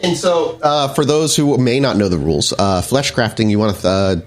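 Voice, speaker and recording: A man's voice: medium pitch, normal speed, soft background music. A man narrating a tabletop roleplaying game as storyteller and host, in a theatrical, scene-setting way. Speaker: game master and podcast host.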